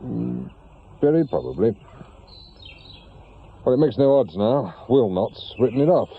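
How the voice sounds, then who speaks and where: Grumbling English ex-cop turned investigator, very varied intonation, muffled noisy radio-drama recording. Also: male voice, medium pitch, very animated, measured pace. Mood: bitter, grumbling. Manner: dry, grumbling, colloquial. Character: wry, bitter ex-policeman turned private investigator, fond of the pub. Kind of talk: naturalistic British radio drama acting. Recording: muffled radio recording with clear background noise.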